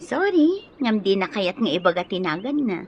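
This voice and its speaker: A woman's voice: medium pitch, sing-song, normal speed. An actress with an Ilocano accent voicing a giggly, teasing, lovestruck young woman in a radio drama. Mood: giddy, swooning. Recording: dull lo-fi audio, faint background noise.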